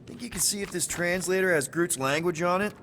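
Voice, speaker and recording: An actor playing an easygoing, friendly spaceship crew leader in a video game, speaking in casual, rambling slang. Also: male voice, high-pitched, a little fast, a bit bright, slight background noise. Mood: curious, awkward.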